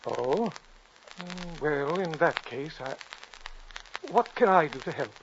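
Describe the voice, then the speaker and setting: A man's voice: fairly high pitch, expressive, takes it slowly. An actor playing a hesitant, fussy older male professor in a 1950s radio drama, with a wavering voice. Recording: dull old radio broadcast with hiss.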